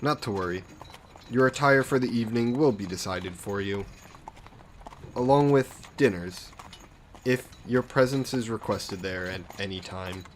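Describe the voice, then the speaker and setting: A man's voice: lowish voice, a little slow, slight background noise, lightly acted. A man playing an aristocratic vampire lord in an audio roleplay, suave, smug and condescending, with a genteel, coaxing, possessive manner. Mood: commanding, suave. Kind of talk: scripted roleplay audio drama monologue.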